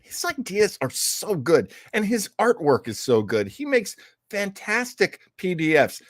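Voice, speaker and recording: Lively, slow-paced host, close and dry. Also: mid-range voice, no room sound. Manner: effusive, theatrical, playful. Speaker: podcast host. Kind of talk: casual livestream chat.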